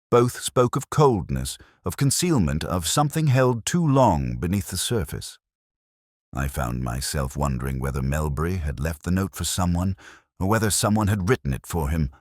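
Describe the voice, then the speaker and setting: A man reading scripted first-person narration for a detective mystery in a measured, literary, reflective manner. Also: low-pitched, no room sound, clean studio sound.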